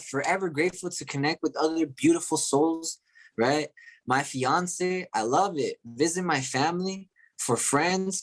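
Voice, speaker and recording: Male motivational call host from Chile, medium pitch, enthusiastic, insistent repetition with frequent tag questions. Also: rough recording. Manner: enthusiastic, repeating phrases with frequent "okay?" and "right?" tags. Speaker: host of an online group call. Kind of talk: motivational talk to a live online audience.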